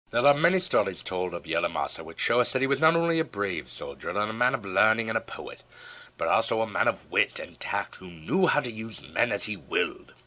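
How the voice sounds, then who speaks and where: A man reading a scripted story as an audiobook narrator, in a measured, formal storytelling manner. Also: on the low side, bright, forceful tone, poor audio.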